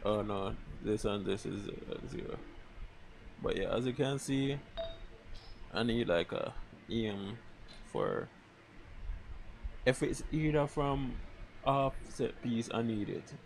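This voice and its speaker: A man's voice: medium pitch, slow, pauses often. A man live-streaming a game, chatting casually and thinking aloud in an informal way. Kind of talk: casual chat while gaming. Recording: clearly noisy stream microphone.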